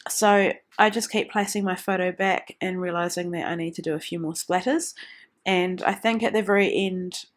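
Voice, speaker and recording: A woman getting over the flu, sounding nasal, narrating a craft video in a chatty, meandering way with many pauses. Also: fairly low pitch. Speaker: scrapbooking vlogger.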